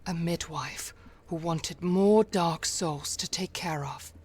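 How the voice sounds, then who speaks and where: Slow, measured male game narrator. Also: a little high, breathy edge. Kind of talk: scripted storybook narration.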